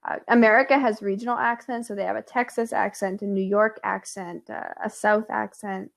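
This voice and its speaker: A woman from Canada tutoring English on a video call, explaining things patiently and clearly. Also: mid-range voice, steady tone, a little fast. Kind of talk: casual online tutoring chat. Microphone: computer mic on a video call.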